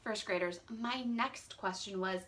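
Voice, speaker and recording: A woman's voice: mid-range voice, unhurried, fairly bright tone. A woman, a first grade teacher, reading a picture book expressively and putting questions directly to young children.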